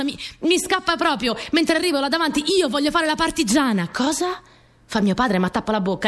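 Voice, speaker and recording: Stubborn teenage partisan girl, dramatic storyteller. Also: high voice. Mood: breathless, excited. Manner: dramatic, halting delivery with repeated phrases. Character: stubborn, eager sixteen-year-old Italian partisan girl recounting wartime. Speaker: radio drama actress. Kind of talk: theatrical radio monologue, first-person storytelling.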